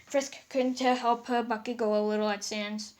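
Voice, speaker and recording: A woman's voice: fairly high pitch, level tone, normal speed, crowd noise. A woman narrating an amateur fan fiction read-aloud, stumbling and repeating words as she reads.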